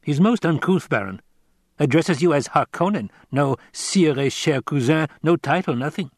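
A man's voice: on the high side, animated, normal speed, dry recording, studio quality, pitched above the performer's own voice. A man voicing a slender, effeminate assassin advisor in an audiobook, sly and mocking, with a sweet, musical tenor and lilting delivery. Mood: amused, sly.

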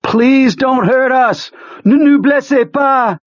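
A man's voice: high voice, steady tone, normal speed, darkish tone, no room sound, highs cut off, voice pushed up, close to an everyday voice. A man reading an audiobook, voicing a terrified man who pleads and cries out desperately for mercy. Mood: panicked begging. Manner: pleading, crying out.

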